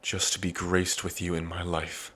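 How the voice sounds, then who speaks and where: A man playing an earnest, devoted dragon prince in an intimate ASMR roleplay, pausing between phrases. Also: low voice, flat delivery, sharp tone, slight background noise. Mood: tender, lovestruck. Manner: slow, earnest, with long pauses between phrases. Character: lovestruck dragon prince, grieving, tender, devoted.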